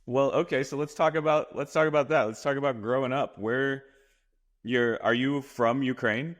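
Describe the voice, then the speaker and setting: A man's voice: medium pitch, steady tone, normal speed. A man hosting a podcast, asking curious questions in casual chat and laughing easily. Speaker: podcast host.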